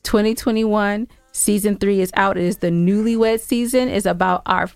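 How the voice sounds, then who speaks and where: A woman's voice: mid-range voice, steady tone, moderate pace, darkish tone, clear, resonant tone, no room sound, soft background music. A woman co-hosting a podcast in casual, playfully argumentative banter, speaking with emphasis. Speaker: podcast co-host. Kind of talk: casual bantering chat with husband.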